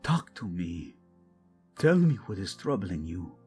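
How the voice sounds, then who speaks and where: A man playing a proud, passionate young king devoted to his wife in a romantic audio drama, speaking earnestly and deliberately. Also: low voice, big pitch swings, dark tone, faint music behind. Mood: tender, hurt.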